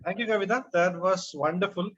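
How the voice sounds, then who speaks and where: A man's voice: high-pitched, a little fast, fairly bright tone. A man with an Indian accent informally hosting a book club and thanking the participants. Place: online group meeting. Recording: muffled online call with noise and clicks.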